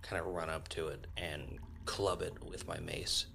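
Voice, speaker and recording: A man's voice: low-pitched, fairly even intonation, a little slow, gravelly, sharp tone, slight background noise. A man chatting casually as a player in a tabletop role-playing game.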